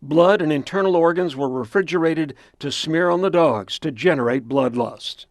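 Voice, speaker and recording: A man's voice: a little high, normal speed, slight background noise. An American man delivering scripted TV reporting and reviews as a reporter.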